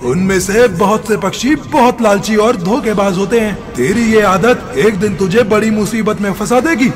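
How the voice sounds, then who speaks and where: A man's voice: sits high, normal speed, over music, lightly acted. A man voicing a protective male ghost bird in a cartoon, admonishing like a concerned friend.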